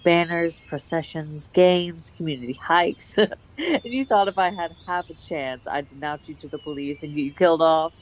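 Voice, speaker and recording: A woman playing a bold, secretive young rebel lover in a radio play, brisk and teasing. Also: deep voice, lightly acted. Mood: teasing, intimate.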